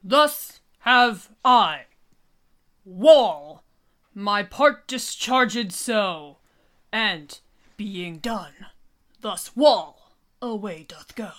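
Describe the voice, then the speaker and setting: Woman playing a very slow, plodding talking wall. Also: mid-range voice, expressive, fairly bright tone. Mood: solemn. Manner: very deliberate, drawn-out recitation. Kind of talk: Shakespearean radio play acting.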